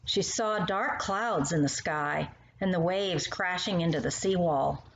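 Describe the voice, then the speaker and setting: A woman reading poems and stories aloud to young children in an expressive, animated manner, speaking directly to the listener. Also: lowish voice, unhurried. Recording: roomy, muffled sound with faint noise.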